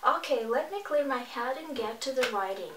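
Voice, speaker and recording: A woman's voice: sits high, expressive, moderate pace, background noise. A sarcastic, exasperated woman giving casual reaction commentary as she hosts a book review video. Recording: echoey room, distant mic.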